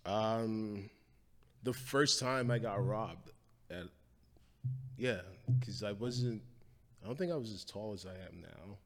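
A man's voice: fairly low pitch, slow pace, pauses often, fairly bright tone. Blunt, profane man in a reverberant hall. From Guyana. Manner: blunt and profane, loose street slang. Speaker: guest, wildlife photographer. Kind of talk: casual interview chat.

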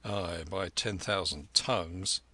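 A man voicing a weary peasant husband with a gruff, grunting manner. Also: sits low, slow pace, pushed and bright, no echo, heavily acted. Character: blue-turbaned peasant husband, weary and grumbling.

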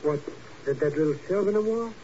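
An actor playing a nervous, excitable, rather dim comic sidekick in a 1950s radio drama. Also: male voice, high-pitched, brisk. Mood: bewildered. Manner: bewildered, malapropism-prone. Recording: muffled, hissy old radio recording.

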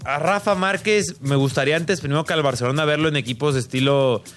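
A man's voice: on the high side, fast, fairly bright tone, soft background music. A man with a Mexican accent co-hosting a casual sports debate, speaking emphatically and repeating himself. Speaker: sports show co-host.

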